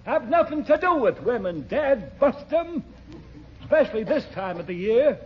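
An actor playing a cranky old superintendent who scolds and exclaims in 1940s radio comedy style. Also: male voice, very high voice, very animated, normal speed, husky, full character voice. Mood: cranky, grumbling. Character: cranky old waterworks superintendent, a grumbling woman-hater. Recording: dull old radio recording.

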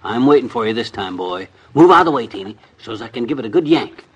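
An actor playing a blustery, scheming middle-aged American husband, folksy and exclamatory, in a 1950s radio comedy. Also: male voice, medium pitch, lively intonation, fast talker, husky. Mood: excited.

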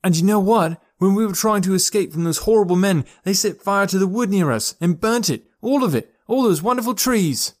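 Eager teenage boy for an audiobook, high voice, urgent delivery, played by an adult man. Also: lively intonation, a little fast, hardly any pauses. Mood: urgent, indignant. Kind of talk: audiobook character voice.

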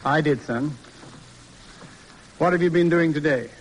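A man's voice: a little high, measured pace, close to an everyday voice. An actor playing a stern, articulate middle-aged American judge and father, formal and dryly sarcastic, in an old-time radio drama. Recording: noisy vintage radio recording.

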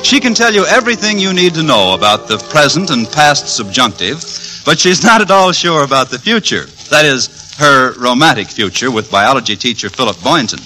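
Bright, forceful American radio announcer man. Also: mid-range voice, big pitch swings, moderate pace, rough recording. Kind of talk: scripted 1940s-50s radio announcing and narration. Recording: old radio broadcast with music. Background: music.

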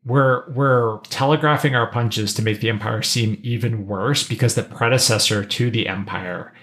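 A man's voice: medium pitch, normal speed, fairly bright tone. A man hosting a podcast, talking casually about pop culture and thinking aloud in a rambling way. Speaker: podcast host.

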